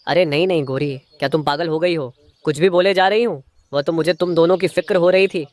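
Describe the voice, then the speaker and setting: A man's voice: a little high, steady tone, fairly quick, dry recording, close to an everyday voice. A man voicing a stingy, two-faced crow husband in a moral-story cartoon, a schemer who feigns sweetness to his wife. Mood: defensive, indignant.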